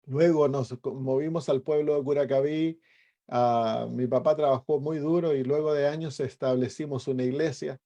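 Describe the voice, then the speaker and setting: Mellow middle-aged Chilean pastor giving testimony. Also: male voice, medium pitch, fairly even intonation, normal speed. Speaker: pastor and evangelist. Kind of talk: personal testimony.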